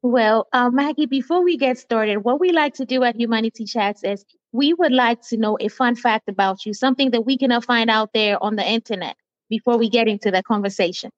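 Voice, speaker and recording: A woman in her forties hosting an interview show, friendly and halting with many fillers. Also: fairly high pitch, no room sound, lo-fi sound. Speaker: podcast host.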